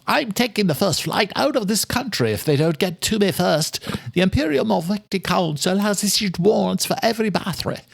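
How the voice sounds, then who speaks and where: A man's voice: on the high side, vocal fry, no room sound, studio quality, voice pushed up. A man voicing an agitated, accusing, foul-mouthed male vampire in an audiobook. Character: panicked, furious, foul-mouthed male cousin of a vampire lord. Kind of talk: audiobook character voice.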